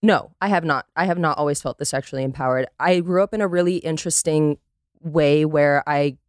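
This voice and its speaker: Podcast guest, woman, clear smooth voice, dry close sound, candid and emphatic, swears freely. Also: sits low, brisk, dry recording. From Costa Rica. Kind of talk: candid casual conversation.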